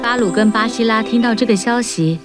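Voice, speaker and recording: A woman's voice: a little high, brisk, music in the background. A woman reading scripted children's storybook narration as the narrator.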